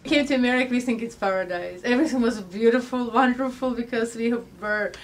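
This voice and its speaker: A woman recounting her arrival in an interview, animated, in broken English with fillers. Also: a little high, fairly quick. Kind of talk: recounting personal story. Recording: distant mic with faint room noise.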